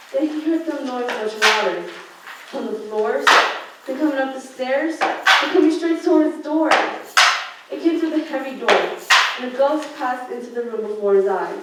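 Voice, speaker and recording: A woman's voice: a little high, lightly acted. A young woman student reading scripted story narration for a radio play. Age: teenager or young adult. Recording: echoey room, far mic, background noise.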